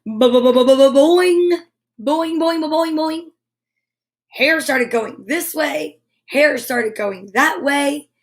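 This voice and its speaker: A woman hosting children's storytime, reading a picture book aloud with playful, drawn-out sound effects and repeated words. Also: high-pitched.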